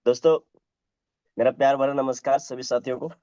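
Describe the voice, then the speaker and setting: A man from Bihar, hoarse from a cold, giving a polite, upbeat update to his team on a call. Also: mid-range voice, flat delivery, a little fast, mellow, dry recording. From Bhagalpur, Bihar, India. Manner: polite, upbeat status report. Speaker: team leader. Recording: dry, dull call audio.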